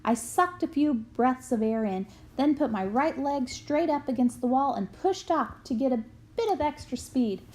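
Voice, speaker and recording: A woman with a voice on the high side reads a story aloud. Her delivery is expressive storytelling, made for young listeners following a book. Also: normal speed. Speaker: book reader. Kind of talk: expressive read-aloud of a children's memoir.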